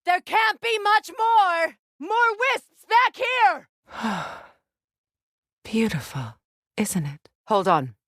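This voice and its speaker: A woman's voice: very high voice, big pitch swings, drawn-out words, fairly bright tone, dry recording, studio quality, heavily acted. A woman acting a proud, grieving warrior goddess and sorceress in a video game, with a grave, intense, commanding manner. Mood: urgent battle shouts, then weary, sorrowful.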